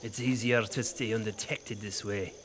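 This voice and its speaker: An actor playing a stern, terse general who speaks curtly and commandingly. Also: male voice, lowish voice, fairly even intonation, moderate pace, fairly bright tone, slight background noise, lightly acted. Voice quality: curt, commanding. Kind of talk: audio drama acting.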